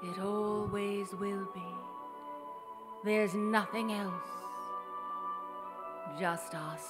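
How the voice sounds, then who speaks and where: Faded movie diva, deluded n grand, slow delivery, old radio play on a big echoey stage. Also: female voice, medium pitch, steady tone, loud music behind the voice. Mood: rapturous, deluded. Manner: theatrical, halting, grand. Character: deluded, grandiose faded silent-film star, aging woman. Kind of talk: 1950s radio drama acting. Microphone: vintage-style stand microphone on stage. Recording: live theatre stage, long hall reverb.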